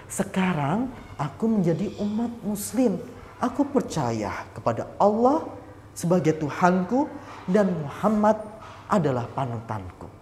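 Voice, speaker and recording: A calm, devout grown man speaks gently and steadily, as a woman storyteller puts him on. The voice stays near her own, with lively pitch. A long hall reverb trails each phrase. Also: unhurried, slight background noise. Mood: earnest.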